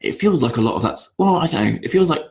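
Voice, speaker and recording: Gravelly guest, thoughtful and halting. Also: male voice, fairly high pitch, expressive, darkish tone. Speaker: podcast guest. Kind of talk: casual interview talk.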